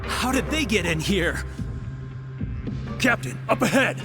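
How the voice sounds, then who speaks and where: A man playing an excitable junior officer in a video game. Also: high-pitched, measured pace, loud music behind the voice. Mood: alarmed, urgent.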